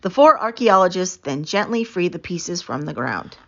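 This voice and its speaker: A woman narrating a children's book aloud in an expressive, emphatic storytelling manner. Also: on the low side, moderate pace, vocal fry, muffled recording. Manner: animated, emphatic storytelling with stretched key words.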